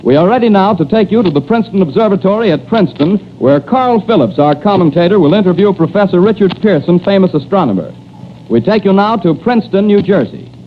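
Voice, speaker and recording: A high, quick 1930s radio announcer. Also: male voice, animated. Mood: formal, brisk. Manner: crisp, formal, unemotional. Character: brisk, formal male radio studio announcer. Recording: dull, hissy 1930s radio recording.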